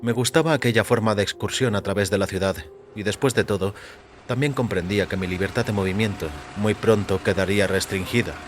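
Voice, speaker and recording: Low-pitched male story narrator. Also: brisk, over music. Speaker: audio fiction narrator. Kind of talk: scripted first-person crime story narration.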